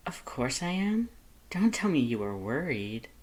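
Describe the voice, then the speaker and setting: A narrator voicing a small, affectionate, gently reassuring male skeleton in a fan fiction reading. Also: mid-range voice, big pitch swings, measured pace. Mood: amused reassurance.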